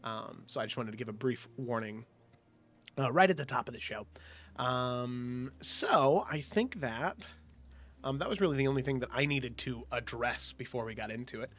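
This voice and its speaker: A man with a congested, slightly nasal voice, opening a tabletop game session as game master in a relaxed way, with many ums. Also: mid-range voice, moderate pace. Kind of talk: casual show opening.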